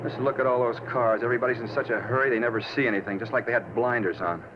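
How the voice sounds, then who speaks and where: An actor in a 1950s radio drama playing a moody, self-justifying murder suspect who rambles insistently and casually. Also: male voice, medium pitch, fairly even intonation, fast talker, lightly acted. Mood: calm, eager. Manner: rambling, insistent, casual about his crime. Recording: muffled 1950s radio, faint noise.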